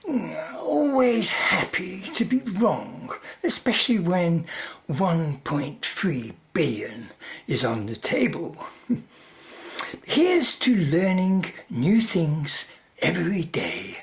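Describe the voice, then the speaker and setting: A low, creaky-voiced British tycoon acted by a woman drawls with haughty greed. The radio drama delivery swoops widely, smug and callous throughout. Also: sing-song, slow, heavily acted. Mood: smug, pleased. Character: callous, smug, greedy lithium mining magnate.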